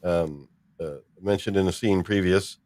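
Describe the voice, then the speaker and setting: A man with a deep, low voice hosts a casual discussion. He talks haltingly, hesitating between short phrases. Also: darkish tone. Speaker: podcast host. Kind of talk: casual chat.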